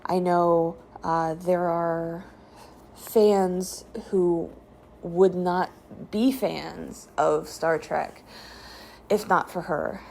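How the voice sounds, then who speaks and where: A woman giving a solemn, personal spoken tribute in halting, measured phrases, with some ambient noise in the recording. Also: lowish voice, steady tone, gravelly, darkish tone. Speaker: podcast co-host giving a guest tribute.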